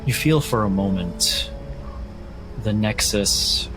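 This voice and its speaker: Male game master, low pitch, descriptive, measured narration, background music. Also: expressive. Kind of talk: narrating a tabletop roleplaying game.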